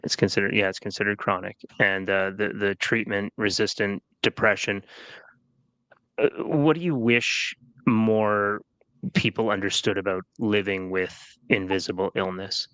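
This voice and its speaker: Male podcast host, deep and forceful tone, close dry mic, hesitant phrasing. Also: steady tone, clear, resonant tone, no room sound, poor audio. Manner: halting, with many fillers and restarts. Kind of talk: interview questions.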